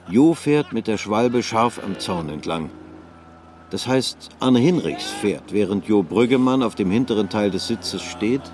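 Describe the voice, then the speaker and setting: A man narrating a literary radio drama in a measured, unhurried way. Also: on the low side, darkish tone, a little hiss or hum.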